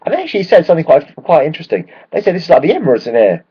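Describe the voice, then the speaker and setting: A man, hoarse from shouting at a match, ranting excitedly and swearing freely in a casual football vlog. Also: on the high side, very fast, highs cut off. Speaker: football fan vlogger.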